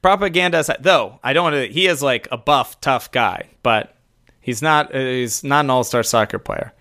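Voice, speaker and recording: A man's voice: medium pitch, moderate pace, pushed and bright. A man co-hosting a casual podcast who rambles through lists, laughs often and swears now and then. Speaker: podcast co-host.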